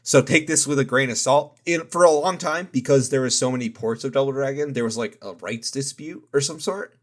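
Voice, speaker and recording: A man's voice: medium pitch, a little fast. A man co-hosting a podcast in a casual chat. He rambles and hedges, often stopping to correct himself mid-sentence. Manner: rambling, hesitant, self-correcting. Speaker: podcast co-host.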